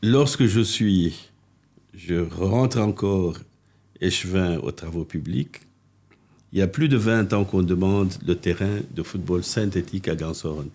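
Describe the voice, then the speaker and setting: A husky, fairly low-voiced older man speaks. He is a mayor telling animated stories as a podcast guest, circling back and restarting his sentences as he goes. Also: measured pace. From Congo. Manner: animated anecdotes with restarts and asides. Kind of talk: casual interview storytelling. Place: living-room podcast set.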